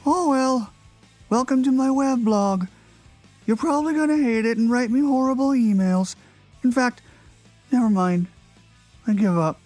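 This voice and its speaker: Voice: medium pitch, measured pace, pauses often, darkish tone, faint music behind, natural voice. A performer voicing a gloomy, self-pitying cartoon character who reads his blog in a mournful, theatrical way. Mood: gloomy, resigned. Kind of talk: dramatic reading of a moody blog.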